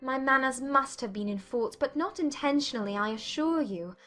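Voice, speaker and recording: A woman playing a witty, candid young gentlewoman in a dramatized classic romance audiobook, polite and gently probing in formal period English. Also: high voice, normal speed, faint music behind. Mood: modest, curious.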